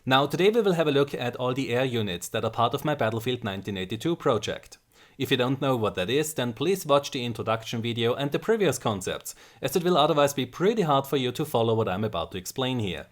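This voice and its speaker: A man's voice: medium pitch, animated. A man hosting a gaming channel, giving scripted, methodical commentary on game design. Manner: explanatory, measured and methodical. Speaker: gaming video host.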